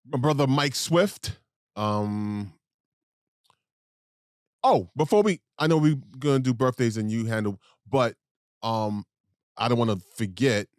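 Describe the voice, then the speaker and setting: A man's voice: medium pitch, measured pace, long pauses, no echo, studio quality. A man co-hosting a podcast, chatting casually and rambling off on tangents. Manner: rambling, digressive storytelling. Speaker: podcast co-host.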